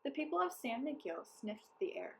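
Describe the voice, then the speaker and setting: A woman reading a children's book aloud as narrator, with deliberate, careful enunciation. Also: high-pitched, very animated, vocal fry, darkish tone, slight background noise. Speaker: storybook reader. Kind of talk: scripted children's story read-aloud.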